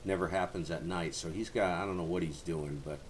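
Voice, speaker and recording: A man's voice: deep voice, fairly even intonation, brisk. A man chatting casually over amateur radio as a livestream host, in a folksy, rambling way. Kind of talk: casual ham radio chat. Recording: noisy amateur radio channel, background hiss.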